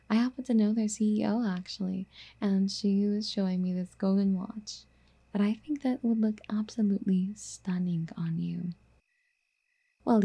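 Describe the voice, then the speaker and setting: Casting: female performer as obsessive, doting yandere girlfriend for ASMR audio drama. Very soft, mellow, breathy delivery, affectionate and coaxing with a possessive streak. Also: medium pitch. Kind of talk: soft intimate ASMR roleplay.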